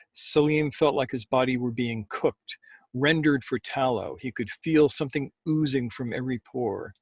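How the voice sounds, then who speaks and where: A man with a mid-pitched, husky voice works as a storyteller. He speaks deliberately and leaves room for pauses, and the sound is dry and close. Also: dry recording. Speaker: storyteller and co-host. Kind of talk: measured storytelling, then casual chat.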